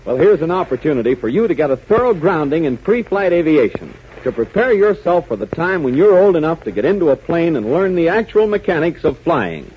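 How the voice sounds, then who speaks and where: Dramatic, animated American radio announcer man. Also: a little high, fairly quick, hardly any pauses. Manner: dramatic, emphatic. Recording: muffled, hissy 1940s radio recording.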